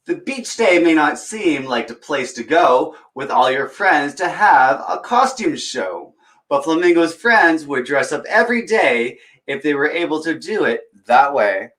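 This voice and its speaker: A man's voice: fairly high pitch, expressive, measured pace, fairly bright tone. A man reading a picture book aloud as a children's story time host, adding chatty, encouraging asides to young listeners.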